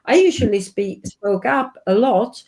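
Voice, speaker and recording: A woman's voice: fairly high pitch, animated, a little slow, a little mellow. A woman in her fifties from Sweden, with a Swedish accent, talking earnestly and reflectively as an interview guest. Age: 50s. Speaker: guest, life and business coach.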